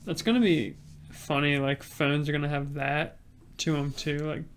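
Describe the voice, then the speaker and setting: A man's voice: a little high, little pitch movement, normal speed. A man chatting casually as a podcast co-host, informal and tentative as he thinks aloud, with faint noise behind him. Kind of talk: casual chat.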